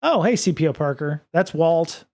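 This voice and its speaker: A man with a slightly high voice talks loosely to his livestream audience from a home studio desk microphone. He rambles, stacks up fillers and restarts his sentences often, easygoing and unscripted. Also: expressive, normal speed, darkish tone. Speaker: podcast and livestream host. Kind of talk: casual livestream chat reading viewer comments.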